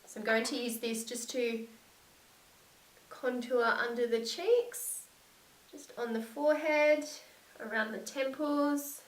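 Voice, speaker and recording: A young woman with a slightly croaky voice from a cold, narrating a makeup tutorial and gushing softly about the products. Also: on the high side, steady tone, takes it slowly, pauses often, distant mic. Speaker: beauty vlogger. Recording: echoey room, set back from mic.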